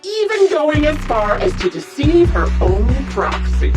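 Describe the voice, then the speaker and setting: A woman playing a gloating, sadistic villainess in a video game, with a mocking, mock-polite, drawn-out delivery. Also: high voice, sing-song, music in the background. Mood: needling, scornful. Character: gloating, sadistic villainess sniper, taunting and theatrical. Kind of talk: English video game voice acting.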